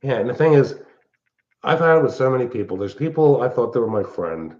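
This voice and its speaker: An older man, hosting a casual livestream from his open-plan home, talking in a loud, blunt, rambling way. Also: mid-range voice, animated, a little fast, lo-fi sound. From Pennsylvania. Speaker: livestream host. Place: large open-plan house at night.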